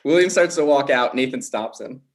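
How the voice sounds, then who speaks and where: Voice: mid-range voice, normal speed. A narrator reading scripted stage directions at a comedy table read.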